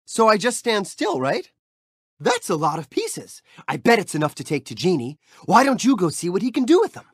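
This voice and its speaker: A cheerful young street-thief hero from a video game, chatty and playful as he cheers the player on. His voice swoops widely up and down with bright youthful bounce. It is recorded dry and close on a studio mic with no room sound. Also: high-pitched, huge pitch swings, normal speed, husky, dry recording, heavily acted. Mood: upbeat. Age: young adult. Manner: friendly, playful, upbeat and encouraging. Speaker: game character.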